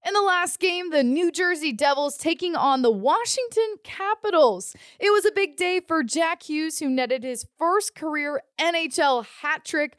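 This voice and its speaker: A woman's voice: high-pitched, lively intonation, measured pace, few pauses, no echo, clean studio sound. A woman hosting a sports podcast, reading scripted news and recaps. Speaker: podcast host.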